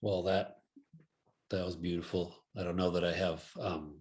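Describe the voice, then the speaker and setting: A man in a reflective group conversation, speaking slowly and hesitantly with many pauses and ums. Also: low-pitched, little pitch movement, a little mellow.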